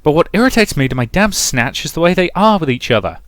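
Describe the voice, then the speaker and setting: A man's voice: on the high side, very animated, fast talker, a bit bright, dry recording, studio quality, pitched above the performer's own voice. A man voicing a brash, sweary, dramatic sixteen-year-old girl in a visual novel, complaining emphatically. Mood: indignant, blunt.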